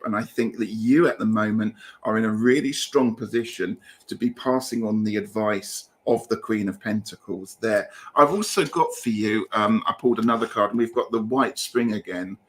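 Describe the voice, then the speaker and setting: A man with a British accent giving gentle, reassuring tarot readings over a video call. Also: on the low side. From Britain. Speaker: guest tarot reader. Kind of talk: casual livestream tarot reading.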